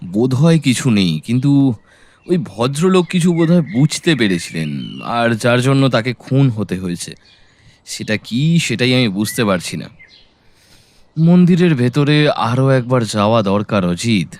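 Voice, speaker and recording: A man acting a sharp, resolute detective in an audio drama, speaking firmly and deliberately as he reasons aloud. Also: mid-range voice, big pitch swings. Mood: pensive, resolute. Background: background music.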